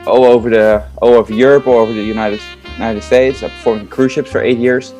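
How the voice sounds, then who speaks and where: A man's voice: medium pitch, level tone, fast, a little mellow, faint music behind. A young Dutch man with a Dutch accent, a juggler answering interview questions in an eager, chatty way with many fillers. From Netherlands. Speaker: guest, comedy juggler.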